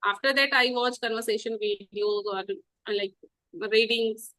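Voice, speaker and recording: A North Indian woman with Hindi as her first language talks casually as an interview guest. Her voice is high and bright, recorded dry and close. Her learner's English comes haltingly, with a giggly manner. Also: fairly even intonation, no echo, low-quality recording. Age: 30s.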